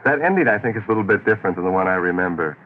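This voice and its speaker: An American man interviews in a very low voice, informal and chatty. He rambles and hesitates as he reminisces. The recording is thin and phone-like, with faint noise. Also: lively intonation, very fast. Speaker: radio interviewer, host. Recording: noisy, phone-like old radio recording.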